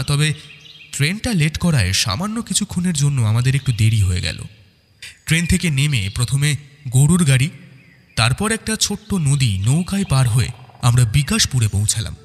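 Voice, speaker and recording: A man's voice: on the high side, in a large hall, a little hiss or hum. A man narrating a detective audio story in a dramatic, scripted first-person style.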